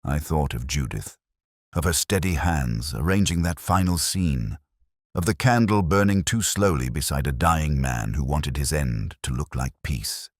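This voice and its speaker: A man performing a weary detective narrating a literary radio drama. The voice is extremely low and rises and falls expressively, with a solemn, reflective delivery. The recording is close and dry. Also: animated, unhurried, no room sound, exaggerated voice. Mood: somber, contemplative.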